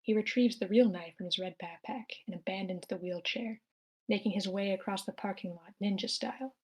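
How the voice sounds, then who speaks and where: A woman's voice: mid-range voice, brisk, darkish tone, husky, low-quality recording. A woman narrator reading screenplay stage directions aloud.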